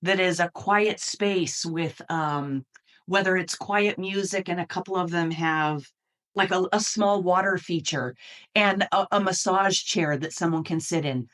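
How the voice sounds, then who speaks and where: A woman's voice: on the low side, unhurried, a bit bright. A middle-aged American woman giving earnest, explanatory answers as an interview guest. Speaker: university dean, interview guest.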